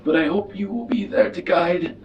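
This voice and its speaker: A man playing a grave, secretive alien elder statesman in a tabletop roleplay, speaking formally and with measured solemnity. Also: high-pitched, far from the mic, lo-fi sound, faint music behind. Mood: solemn, resigned.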